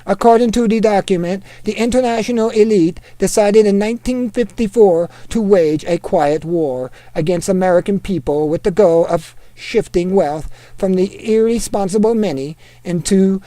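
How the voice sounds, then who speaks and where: A man's voice: sits high, no echo, lightly acted. A man putting on a Norwegian-accented reader. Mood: steady. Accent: put-on Norwegian. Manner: steady, deliberate reading in a put-on accent. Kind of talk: reading an article aloud.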